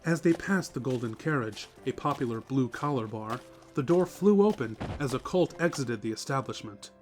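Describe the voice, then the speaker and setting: A man's voice: medium pitch, expressive, normal speed, darkish tone. A man reading scripted story narration as the narrator of a dramatic reading. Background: music.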